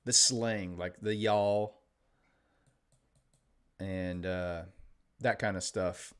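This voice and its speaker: A man with a mostly Midwestern American accent and a slight Texan touch, reading viewer chat aloud and answering it in a relaxed live-stream way. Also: deep voice, extremely slow, smooth. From Illinois, later Texas. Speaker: day trader streaming live.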